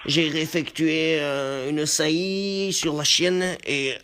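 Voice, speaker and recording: A man playing an embarrassed, formal truck driver on a deadpan prank call, halting and over-polite as he confesses. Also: a little high, a little hiss or hum, heavily acted. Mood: sheepish, halting. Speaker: prank caller.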